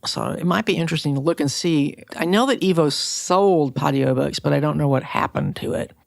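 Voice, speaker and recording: A woman's voice: sits low, fairly quick, vocal fry, no room sound, studio quality. A woman talking conversationally as a podcast interviewer.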